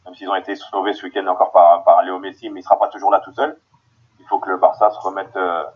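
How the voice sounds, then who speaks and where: Low-voiced man on a lo-fi call. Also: little pitch movement, husky. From Paris, France. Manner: halting, many restarts and fillers. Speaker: football guest. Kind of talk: podcast interview answers. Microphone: remote call.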